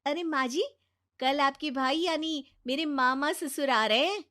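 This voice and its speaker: A woman plays a young daughter-in-law from a moral-story cartoon, pitched very high. She is eager to please and oblivious, gushing and exclaiming with theatrical, boastful flair. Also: unhurried, pushed and bright. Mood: cheerful, eager.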